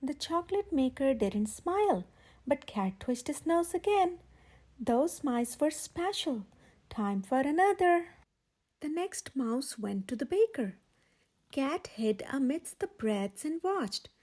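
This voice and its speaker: A woman reading a children's story aloud as a storytime host, expressive, with dramatic emphasis and a breathy voice. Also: high-pitched, slow pace. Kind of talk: animated children's read-aloud narration.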